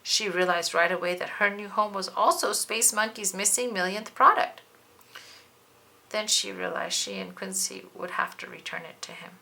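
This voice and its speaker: Lady reading a storybook out loud, bright voice w some creak, expressive narrator style. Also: medium pitch, normal speed. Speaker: children's book reader.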